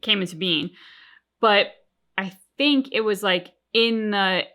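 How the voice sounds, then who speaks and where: A woman's voice: medium pitch, slow, pushed and bright. A woman co-hosting a game reaction show, talking casually and discursively.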